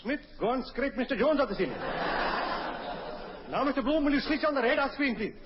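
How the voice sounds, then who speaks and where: A man's voice: very high-pitched, expressive, fairly quick. A man playing a pompous, mock-serious scientist in an old radio comedy sketch, declaiming his demonstration. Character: pompous male scientist-official demonstrating absurd inventions. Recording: old noisy dull radio recording, clicks.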